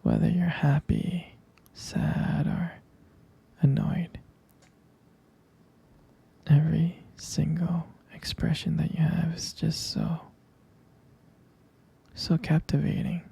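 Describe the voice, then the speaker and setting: A person whispering intimately and close to the microphone in a romantic ASMR roleplay as a tender, adoring vampire lover, with hesitant pauses. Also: medium pitch, level tone, mellow. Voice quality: breathy, husky whisper. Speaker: ASMR performer playing a loving partner.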